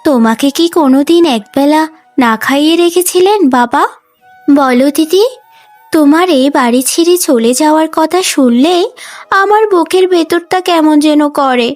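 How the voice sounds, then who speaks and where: A woman's voice: high-pitched, normal speed, a little mellow, close to an everyday voice. A woman voicing a sweet, selfless younger daughter in a cartoon story, earnest and affectionate. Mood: hurt, pleading. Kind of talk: Bengali cartoon story dubbing. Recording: music bed under the voice.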